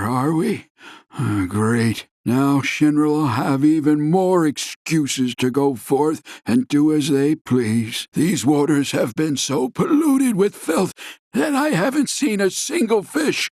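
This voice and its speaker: Slow-speaking, bitterly lamenting fisherman. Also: a little high, darkish tone, dry recording, exaggerated voice. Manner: weary, grumbling, theatrical lament. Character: bitter, despairing male fisherman lamenting his lot. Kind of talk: video game character dialogue.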